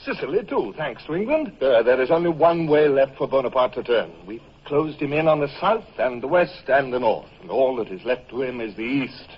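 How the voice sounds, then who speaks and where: Serious british navy commodore, speechy and formal, rough husky voice, dull old radio recording. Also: male voice, mid-range voice, lively intonation, a little fast, few pauses. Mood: grave, impassioned. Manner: formal, oratorical. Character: grave, eloquent British naval commodore, narrating and speaking. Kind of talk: old-time radio drama acting.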